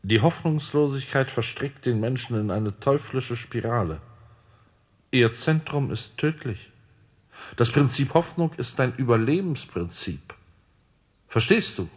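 Casting: a patient heavenly lord, husky and gentle, radio play. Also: male voice, medium pitch, lively intonation, a little slow. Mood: reassuring. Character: calm fatherly male heavenly lord. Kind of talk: radio drama acting.

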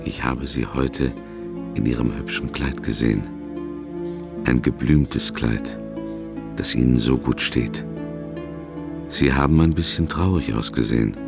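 A man's voice: rumbling low, a little slow, vocal fry, pauses often, heavily acted. An actor playing a tender, lovesick man who intimately reads out his love letter in a radio play. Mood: tender, wistful. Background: music.

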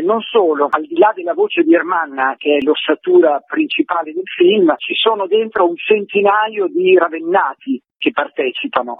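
A man's voice: sits high, creaky, husky, no room sound. A man, a film director, explaining his work passionately over a phone line on a radio interview. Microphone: telephone.

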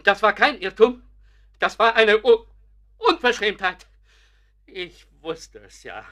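A middle-aged amateur painter in a radio drama, aggrieved and wounded. His voice is high and piercingly forceful, and he stammers and repeats words with bitter agitation. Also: exaggerated voice. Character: aggrieved amateur painter, indignant, wounded, sinking into self-doubt. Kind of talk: German radio play acting.

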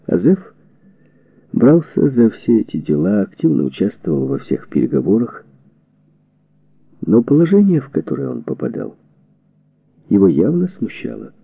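A man reading a historical book as a scripted narration, in measured, deliberate phrases. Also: mid-range voice, sing-song, creaky, husky, no room sound. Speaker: audiobook narrator. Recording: narrow phone-like band, radio-like sound.

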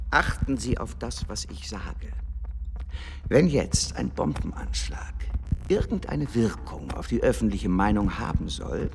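Imperious diplomat for radio play: smug, cynical, theatrical, slow delivery with wide pitch swings. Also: male voice, a little hiss or hum. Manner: theatrical, condescending, drawn-out emphasis. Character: cynical, smug, imperious embassy secretary in Edwardian London. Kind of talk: radio drama acting.

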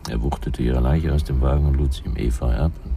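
Hard-boiled older male detective, dark gravelly voice, matter-of-fact and grim, radio crime drama. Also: rumbling low, steady tone, quick pace, faint music behind. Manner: blunt, clipped, matter-of-fact.

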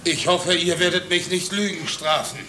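Upright farmer, bright forceful voice. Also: male voice, high voice, normal speed. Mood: resolute, pleading. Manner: earnest, respectful, insistent. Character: upright, anguished farmer pleading for his wife. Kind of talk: 1950s German radio drama acting. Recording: hissy old radio drama recording.

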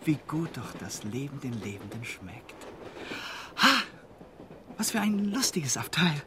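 An actress playing a brisk, callous woman passenger in a radio play, theatrical and swinging between commands and cheer. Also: big pitch swings, exaggerated voice. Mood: gloating cheer. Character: brisk, callous woman passenger ridding a night train of the dead. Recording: loud train rumble behind the voice. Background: moving train noise.

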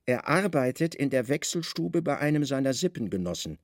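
An actor playing a guarded secret service chief in a radio play, calm, deferential and cunning. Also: male voice, mid-range voice, a little fast, no room sound, studio quality. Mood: discreet.

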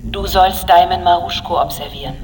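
A calm, matter-of-fact AI assistant played by an actor speaks from far off in a reverberant space. It is insistent and unruffled, with clear noise behind it, in a radio drama. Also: mid-range voice, a little fast, lightly acted. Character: insistent AI assistant voice waking an officer.

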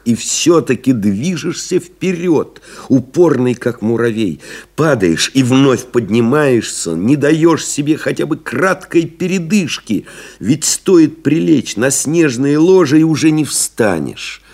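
An actor playing a pilot's devoted friend, solemnly retelling the ordeal in measured, rhetorical literary radio play narration. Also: male voice, medium pitch, a little mellow. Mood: urgent. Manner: grave, measured, rhetorical, building in intensity.

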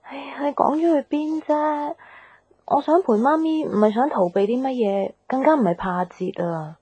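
An actress playing an earnest, emotional young woman in a radio drama, speaking softly with a Hong Kong Cantonese accent. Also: high voice, moderate pace, husky, no room sound. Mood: flustered, guilty. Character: earnest young woman torn between love and her mother. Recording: music bed behind the voice.

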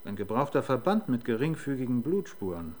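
An actor playing a dry, matter-of-fact police forensic doctor in a German radio crime drama. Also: male voice, medium pitch, very animated, brisk, darkish tone, lightly acted. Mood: wry, matter-of-fact.

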